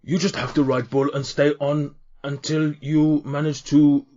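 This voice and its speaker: A man's voice: on the high side, level tone, unhurried, mellow, dull audio, slight background noise, pitched above the performer's own voice, close to an everyday voice. A man doing a cheery half-orc, broken grammar. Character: cheery male half-orc bull-pit keeper.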